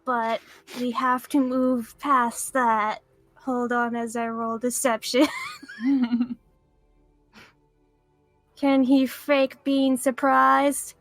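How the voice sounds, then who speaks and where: Woman, tabletop player, high pitch, playful, slow, dry mic. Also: fairly bright tone, dry recording, rough recording. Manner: playful, chatty, laughs often. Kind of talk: playing a tabletop game.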